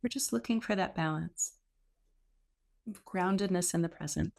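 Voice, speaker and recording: Low-pitched woman guiding a meditation. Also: vocal fry. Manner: unhurried, gentle instruction with pauses. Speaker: meditation teacher. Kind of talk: guided meditation.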